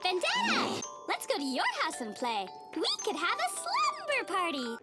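A woman's voice: very high-pitched, huge pitch swings, normal speed, heavily acted. A woman voicing a relentlessly cheerful, naive and sweet little girl in a children's cartoon, with a gushing, sing-song, oblivious delivery. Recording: cartoon soundtrack with jingly music behind.

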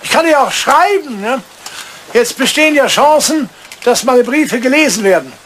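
A man's voice: extremely high pitch, lively intonation, moderate pace, fairly bright tone, a little hiss or hum. An older man playing an ageing, cynical English lord in a German radio play, blustering and roguish, often hesitating. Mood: wistful. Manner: blustering, hesitant, tagging questions with "ne?".

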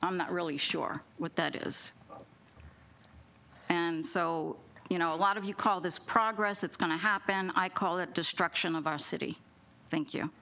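A woman's voice: fairly low pitch, moderate pace, gravelly, pushed and bright. A middle-aged woman at a council podium mic, giving a pointed public comment full of questions, partly read from notes. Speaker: resident speaking at public comment. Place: city council chamber at a podium. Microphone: podium gooseneck mic.

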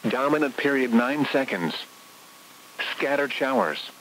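A synthetic male announcer reading an automated marine forecast over the radio in a measured, impersonal way. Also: medium pitch, expressive, a bit bright, low-quality recording. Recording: hissy weather radio reception.

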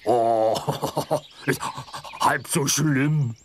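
An actor playing a kindly, comforting little bear in a children's story, asking gentle questions with small hums. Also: male voice, medium pitch, extremely slow, a little hiss or hum. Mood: caring. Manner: humming interjections, gentle questioning.

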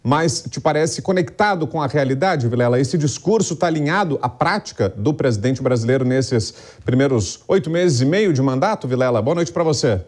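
Brazilian news anchorman, mid-pitched voice. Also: expressive, normal speed, hardly any pauses, a little mellow. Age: 30s. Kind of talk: conversational news presenting. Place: TV news studio. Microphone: lapel microphone.

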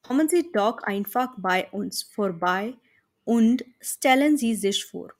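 A friendly, businesslike female travel agency manager, read by a woman with an Indian accent speaks formally in a fairly high voice. She sets an unhurried pace throughout, as in a dialogue for learners. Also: lively intonation.

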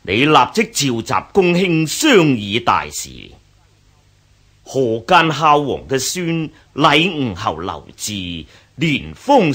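Husky, overbearing scheming general. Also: male voice, medium pitch, a bit bright, full character voice. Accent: Hong Kong Cantonese. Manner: domineering, falsely courteous. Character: overbearing, scheming male grand general, cold poisoner. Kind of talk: radio drama acting.

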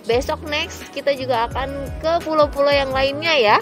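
Young female travel vlog host, fairly high voice, playful casual chat, music behind. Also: moderate pace. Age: young adult. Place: outdoor terrace of a hillside cafe.

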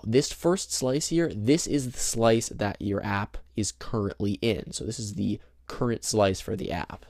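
A man's voice: on the low side, gravelly, a little mellow, no echo, studio quality. A young man casually walking through a phone demo step by step, chatty with small self-conscious laughs. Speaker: tech tutorial host. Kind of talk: casual screen-demo walkthrough. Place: indoors at a table, filming a handheld phone. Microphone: camera mic behind the phone.

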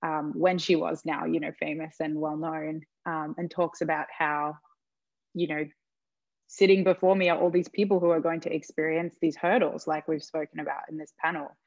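A woman's voice: low-pitched, level tone, moderate pace, vocal fry, darkish tone, no room sound. A woman, a panelist on a video call giving earnest, encouraging advice. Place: home room with plain walls. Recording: slightly dull video-call audio.

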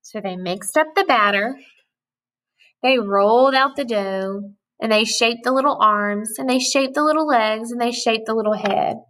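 A woman in her thirties reading a children's story aloud with expressive, rhythmic storytelling. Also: on the high side, normal speed. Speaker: storybook reader. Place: small indoor room with cupboards.

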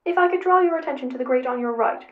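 Polite, precise robot sidekick played by a woman, witty and a touch sheepish, raised pitch, storybook delivery. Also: racing, reverberant, narrow, tinny audio, lightly acted. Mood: polite, helpful. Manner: formal, precise wording. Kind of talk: acted audio fiction narration.

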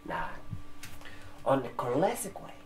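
Very slow-talking young man, ASMR role-play. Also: mid-range voice, wildly swooping pitch, slight background noise. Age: 20s. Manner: slow, halting, repeating words while typing. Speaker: ASMR performer playing a barber shop receptionist. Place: room with sofas, indoors. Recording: roomy echo, keyboard typing. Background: computer keyboard typing.

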